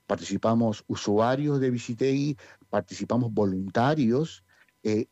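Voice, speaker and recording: A man's voice: medium pitch, warm tone, dry recording, highs cut off. A Peruvian man with a Peruvian Spanish accent who directs a community theatre group, talking in a radio interview and hesitating with many fillers. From Peru.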